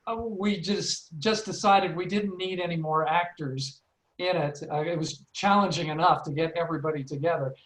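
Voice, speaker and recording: A man hosts an online panel discussion in a roomy, echoing space. He speaks haltingly, repeating words as he moves between questions. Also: a little high, steady tone, fairly bright tone. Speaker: seminar moderator. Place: indoors, online video seminar.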